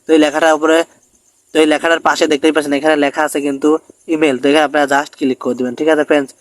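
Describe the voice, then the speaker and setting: A man's voice: a little high, little pitch movement, racing, no echo. A man hosting a step-by-step tech tutorial, often checking in with his listeners as he narrates.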